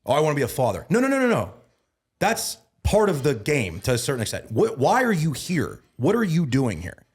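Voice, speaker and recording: A man in his thirties talking as a guest on a casual podcast. He sounds earnest, repeats himself and restarts a lot, and grows emphatic and louder when he starts preaching. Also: fairly high pitch, huge pitch swings, a little fast, slightly rough. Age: 30s. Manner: repeats words and restarts often. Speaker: guest, bodybuilding and mindset coach.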